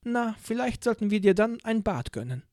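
A man's voice: high-pitched, sing-song, normal speed, a little mellow, no echo, clean studio sound, voice pushed up. A man reading an audiobook, voicing a cold, stern female interrogator in a clipped, coolly polite way. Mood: mocking. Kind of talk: audiobook character voice.

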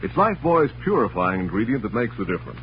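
A man's voice: fairly low pitch, sing-song, fast talker, a little hiss or hum. A man working as an emphatic, dramatic announcer, reading narration and sponsor copy in 1940s radio style. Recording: muffled, humming old radio recording.

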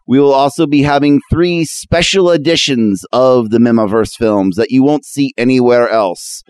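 A man's voice: mid-range voice, normal speed, a little mellow, smooth, no room sound. A man in a showman-like announcer role, delivering scripted jokes and event promotion. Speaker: guest announcer and joke teller.

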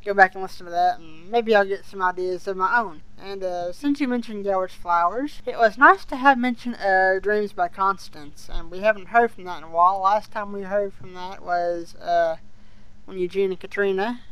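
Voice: mid-range voice, fairly even intonation, normal speed, pushed and bright, smooth, low-quality recording. A podcast co-host chatting casually in a somewhat hesitant, conversational way about a show they follow. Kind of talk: casual fan discussion of an audio drama series.

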